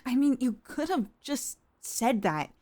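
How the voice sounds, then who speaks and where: Slow, wounded young woman, acted out by a woman. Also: high-pitched, big pitch swings, warm tone, heavily acted. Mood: conflicted, vulnerable. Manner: halting, sighing, emotionally wounded delivery. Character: distressed young woman employee cornered by her boss. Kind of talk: dramatic ASMR roleplay monologue.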